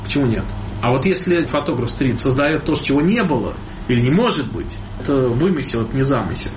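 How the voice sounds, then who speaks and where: Reflective male photographer in an interview, mid-pitched, noisy background. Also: very animated, brisk. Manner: reflective, speaks in images, stresses and repeats words. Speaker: street photographer, interview guest. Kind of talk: interview answers. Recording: noticeable background noise.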